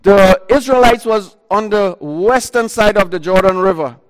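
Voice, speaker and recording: A man with a high-set voice preaching loudly into a microphone to a congregation. He retells scripture in bursts, stopping and restarting and filling gaps with "uh" and "um". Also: moderate pace, big-room sound. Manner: loud voice, talks in bursts with many fillers and restarts. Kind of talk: sermon retelling a Bible story.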